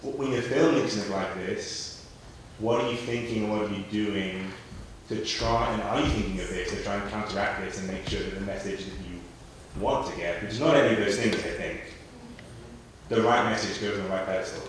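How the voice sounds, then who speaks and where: A man's voice: on the low side, fairly quick. A man putting a long, considered question to a guest at a public screening event. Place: echoey screening venue at a festival. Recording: very echoey hall, distant mic, faint noise.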